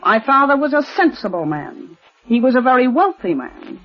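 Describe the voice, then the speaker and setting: A woman's voice: sits high, sing-song, normal speed, soft background music. An actress in 1940s radio style playing a formal, insistent wealthy woman of forty. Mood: tense. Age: 40s. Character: tense, proper wealthy eldest daughter. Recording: old lo-fi radio recording.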